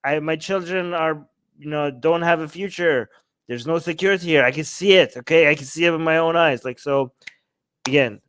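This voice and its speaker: A man's voice: medium pitch, fairly bright tone, smooth, no echo. A man giving casual political commentary as a talk show host, speaking emphatically and often tagging points with rhetorical questions.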